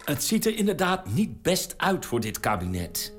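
A formal, cautious male premier, speaks in a stern and guarded way. His pitch moves expressively from line to line. Soft music runs behind the scene. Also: wildly swooping pitch, normal speed. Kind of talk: radio drama acting.

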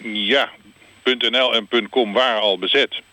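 An actor playing an earnest, matter-of-fact official being interviewed in a satirical radio programme. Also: male voice, medium pitch, fairly even intonation, normal speed, sharp tone, natural voice. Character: earnest male official of a gangster rap certification board. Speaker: studio interview guest. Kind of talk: mock-serious radio interview.

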